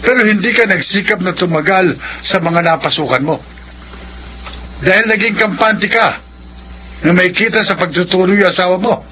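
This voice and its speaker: A man's voice: high voice, sharp tone, low-quality recording. An actor playing a stern, principled older Filipino father, admonishing with emphatic moral weight in a radio drama. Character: stern, principled elderly father scolding his grown son.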